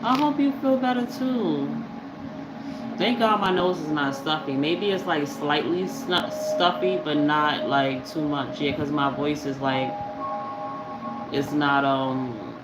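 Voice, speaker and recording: Slow-talking stuffy-nosed livestream host. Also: medium pitch, heavy background noise. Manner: chatty, rambling, talks to viewers. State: slightly stuffy nose from allergies. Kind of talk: casual livestream chat. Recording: roomy echo with loud music behind. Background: loud music.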